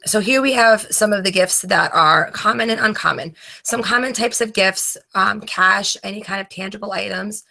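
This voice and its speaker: A woman's voice: medium pitch, normal speed, vocal fry, hardly any pauses, sharp tone. A woman from New Jersey, a student debater reading a prepared presentation from notes, stumbling and restarting words now and then. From New Jersey, USA.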